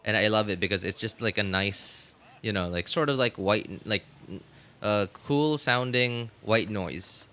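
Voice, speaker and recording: A man chatting casually as he streams a game, rambling and halting as he thinks aloud. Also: fairly low pitch, pushed and bright. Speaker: live streamer. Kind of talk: casual gaming stream chat.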